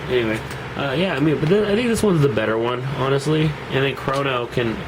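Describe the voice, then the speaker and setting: A man's voice: mid-range voice, lively intonation, a little fast, noisy background. A young man with a cold and a nasal voice, rambling casually through a card deck review with lots of fillers and restarts. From Seattle area. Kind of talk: casual deck profile vlog.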